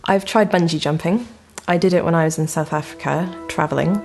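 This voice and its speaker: A woman's voice: low-pitched, brisk, music in the background. A woman from Canada who grew up in Britain, giving a simple personal account. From born in Canada, raised in Scotland and England. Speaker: interviewee.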